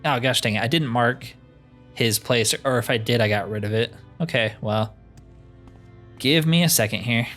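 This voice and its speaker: A man's voice: mid-range voice, moderate pace, fairly bright tone, faint music behind. A man giving casual, easygoing game commentary in a chatty, slangy way. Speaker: gaming host playing a roleplay playthrough.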